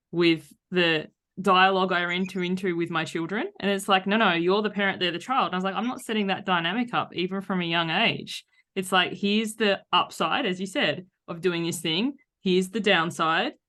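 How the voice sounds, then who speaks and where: Lower-voiced woman chatting as podcast host. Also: a bit bright. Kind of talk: casual conversation.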